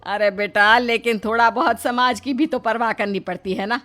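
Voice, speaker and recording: A woman voicing a worried, affectionate older mother-in-law in a cartoon moral story, chiding in an expressive, motherly way. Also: fairly high pitch, normal speed, faint music behind, lightly acted. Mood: exasperated.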